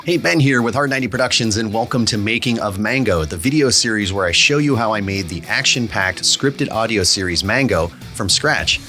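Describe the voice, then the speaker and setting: A man's voice: on the low side, lively intonation, brisk, hardly any pauses, bright, forceful tone, soft background music. A man hosting a behind-the-scenes series, explaining his production work in a casual, conversational way. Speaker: audio drama director and series host.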